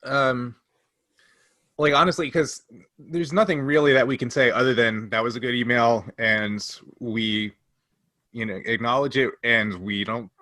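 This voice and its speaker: A man with a bright, punchy voice of middling pitch speaks as a podcast co-host. He explains things earnestly in casual talk, with a dry, close sound. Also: no room sound. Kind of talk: casual discussion.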